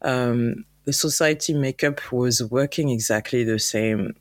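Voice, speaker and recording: A woman with a French accent, a guest founder on an interview podcast, talking upbeat and animated and sometimes searching for words. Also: a bass voice, unhurried, no echo. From France. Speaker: guest, travel company founder. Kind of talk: conversational interview.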